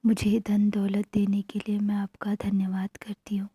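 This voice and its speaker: A woman narrating guided meditation affirmations in a hushed manner, pausing between short phrases. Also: medium pitch, monotone, slightly rough, no echo.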